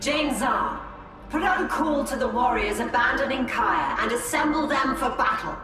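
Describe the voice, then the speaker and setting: An actress playing a commanding, mystical elf seer. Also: female voice, high-pitched, animated, normal speed. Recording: distant, very echoey, with music.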